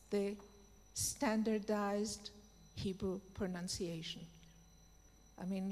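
Woman panelist, unhurried, careful answers, long hall reverb. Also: mid-range voice. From Israel. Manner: measured, pausing often with fillers. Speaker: panel speaker.

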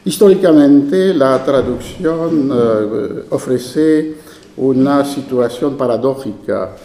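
A man with a French accent, a native French speaker, giving a formal academic conference lecture in a university lecture room, measured with occasional hesitations. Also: mid-range voice, mellow, a little hiss or hum. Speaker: invited speaker. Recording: echoey lecture hall.

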